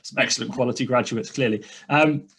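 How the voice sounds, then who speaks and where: A man's voice: medium pitch, brisk, fairly bright tone, slightly rough. A man, hosting a casual interview podcast and rambling through restarts and fillers. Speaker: podcast host.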